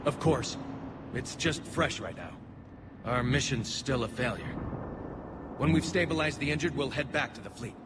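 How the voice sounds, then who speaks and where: A man's voice: mid-range voice, lively intonation, normal speed, long pauses, natural voice. A man playing a burdened, dutiful young alien military officer in a sci-fi game, speaking earnestly and formally. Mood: defeated, regretful. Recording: loud vehicle and battlefield noise behind.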